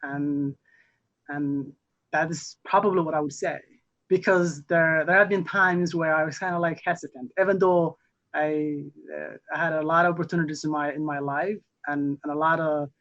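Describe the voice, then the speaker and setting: A young man from Somalia, a newly elected council member, answering interview questions haltingly with many fillers and restarts. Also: fairly high pitch, fairly even intonation, fairly bright tone. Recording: muffled lo-fi audio.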